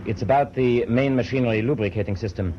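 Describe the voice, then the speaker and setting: An actor playing a matter-of-fact German chief engineer in a radio drama, deferential and subdued. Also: male voice, medium pitch, fast talker, dark tone, lightly acted. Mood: cautious, factual. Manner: deferential, plain technical reporting. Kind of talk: BBC radio drama acting. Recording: muffled old radio tape, faint noise.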